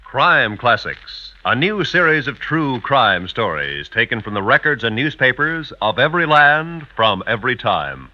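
A man's voice: medium pitch, sing-song, measured pace, few pauses, pushed and bright. A man announcing a 1950s radio show in a formal, emphatic style. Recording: muffled vintage radio recording.

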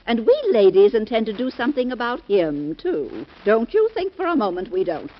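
An actress playing an indignant, haughty society wife in a 1940s radio drama, on a dull old broadcast recording. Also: female voice, fairly high pitch, sing-song, moderate pace. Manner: haughty, scandalized.

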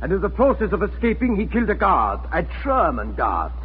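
An actor playing a smug, menacing German occupation colonel in a 1940s radio drama, imperious and sardonic. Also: male voice, high voice, wildly swooping pitch, fairly quick. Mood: threatening. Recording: scratchy, phone-like 1940s radio recording.

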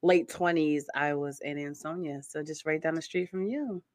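A woman's voice: low voice, steady tone, normal speed, darkish tone, dry recording. A woman in her thirties from Connecticut, hosting a casual interview show in a chatty, upbeat way and laughing often. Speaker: podcast host.

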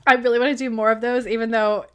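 A woman's voice: a little high, flat delivery, fast, clean tone. A woman co-hosting a podcast, chatting casually and laughing often as she recaps past episodes. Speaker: podcast co-host.

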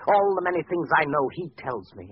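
An actor playing a deranged, grandiose former scientist held as a patient, theatrical and ominous in old-time radio drama style. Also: male voice, a little high, big pitch swings, fast. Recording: muffled, noisy vintage radio recording.

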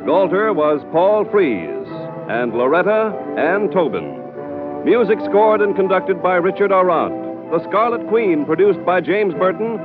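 A man's voice: sits high, big pitch swings, a little slow, phone-quality sound. An American man announcing scripted credits in 1940s radio style. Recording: band-limited old radio recording, music behind.

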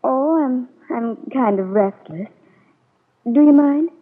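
High, echoing restless young woman. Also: takes it slowly. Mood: restless, evasive. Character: restless, evasive young niece, upset but polite. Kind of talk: vintage radio soap opera acting. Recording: muffled echoing old radio recording, off-mic.

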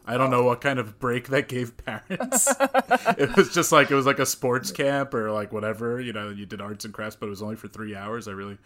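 A man's voice: medium pitch, fairly even intonation, brisk, fairly bright tone. Chatty young man hosting a podcast. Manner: chatty, laughs often, many restarts. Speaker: podcast host. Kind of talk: casual conversational interview.

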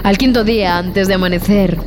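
An actress playing a haunted explorer who tells a horror tale in a dramatic, deliberate way, in audio fiction. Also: female voice, medium pitch, steady tone, over music, lightly acted. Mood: awed, excited. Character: haunted explorer recounting a terrifying jungle expedition.

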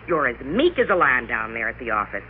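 An American actress playing a domineering, impatient wife who scolds and interrupts, in old-time radio drama style. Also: female voice, rumbling low, very animated, fairly quick, narrow, tinny audio. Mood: lecturing. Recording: thin, noisy vintage radio recording.

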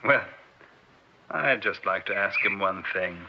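A man's voice: deep voice, unhurried. Male, impassioned, indignant academic; insistent delivery, 1950s-style radio drama, muffled vintage recording. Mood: agitated, challenging. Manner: intense, insistent. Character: indignant, impassioned non-scientist academic man. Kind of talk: old-time radio drama acting. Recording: dull vintage radio broadcast.

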